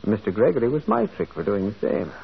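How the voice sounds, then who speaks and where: An actor playing a kindly, shrewd priest detective in a 1940s radio drama, speaking in a mild, hesitant, thoughtful way. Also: male voice, fairly low pitch, huge pitch swings, quick pace, vocal fry, breathy edge, heavily acted. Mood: mild, explaining. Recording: muffled old radio broadcast.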